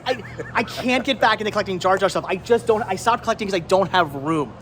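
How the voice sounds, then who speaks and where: Higher-voiced American man, chatty and nostalgic. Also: fast talker, fairly bright tone. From Pennsylvania, United States. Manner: enthusiastic, nostalgic, stammering repeats. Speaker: host of a thrifting vlog. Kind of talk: casual rambling vlog chat. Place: flea market, among vendor stands. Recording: clear background noise, flea market ambience.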